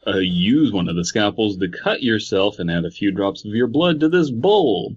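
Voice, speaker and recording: A man with a lively, varied delivery on a slightly muffled, lo-fi track. He co-hosts a comedy podcast and spins crude, absurd bits in casual conversation. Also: on the low side, moderate pace, slightly rough. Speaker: podcast co-host. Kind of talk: comedic group chat. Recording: dull lo-fi voice track.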